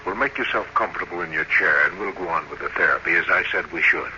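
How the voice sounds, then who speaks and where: A man acting a probing, authoritative doctor, deliberate and instructing, in a muffled, noisy 1950s radio drama. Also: low voice, bright, forceful tone. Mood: firm, urging. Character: probing, authoritative male doctor treating a patient. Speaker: doctor, therapist.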